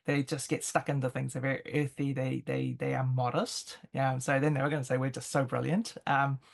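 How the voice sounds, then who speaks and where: A woman with a New Zealand accent talks in a conversational interview. She is reflective and picks through her thoughts in halting phrases, explaining as she goes. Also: extremely low pitch, flat delivery, creaky. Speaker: interview guest, intercultural expert.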